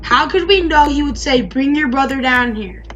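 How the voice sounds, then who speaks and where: A woman's voice: sits high, expressive, normal speed, fairly bright tone, muffled recording, pitched above the performer's own voice. A woman voicing earnest, pleading grown brothers in a Bible story, with insistent, emphatic delivery. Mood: defensive. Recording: music behind the voice.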